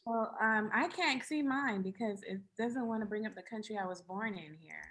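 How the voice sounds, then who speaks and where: A woman's voice: medium pitch, normal speed, fairly bright tone. A woman from Barbados, a podcast co-host, chatting casually in a light, laughing manner. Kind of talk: casual chat.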